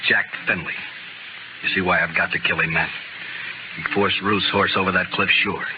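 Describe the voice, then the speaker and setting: A man's voice: low voice, steady tone, normal speed, natural voice. An actor playing a grim, vengeful Old West gunman in a 1950s radio western, with a clipped, bitterly insistent manner. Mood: grim, resolved. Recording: muffled, noisy old radio broadcast.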